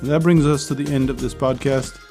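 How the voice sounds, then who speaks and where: A man hosting a casual solo podcast, chatty and persuasive, with his voice hoarse and going. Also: medium pitch, steady tone, brisk, mellow, faint music behind. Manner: chatty, persuasive, with small laughs. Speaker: podcast host.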